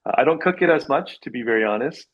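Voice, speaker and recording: A man's voice: mid-range voice, animated, lo-fi sound. Man, forties, from Canada, podcast guest, upbeat, casual chat. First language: Korean heritage, English first. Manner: upbeat, lots of quick yeses and laughs. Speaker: realtor guest.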